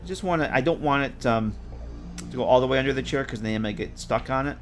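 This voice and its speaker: A man's voice: mid-range voice, fast talker, faint music behind. Tired, congested man thinking aloud. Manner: rambling, thinking aloud, self-correcting. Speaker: modding streamer. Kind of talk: casual live-stream commentary while working.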